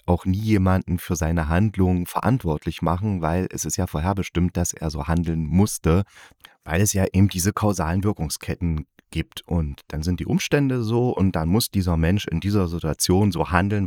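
Male podcast host, low register, colloquial explainer style, dry recording with no room sound. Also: no echo. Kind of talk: casual spoken review, explaining to listeners.

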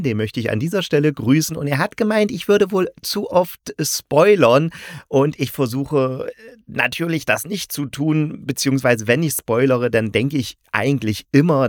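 A man's voice: medium pitch, no room sound, clean studio sound. A man hosting a podcast as a critic, talking conversationally and explaining things directly to his listeners. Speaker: podcast host and radio drama critic. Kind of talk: chatty solo podcast review.

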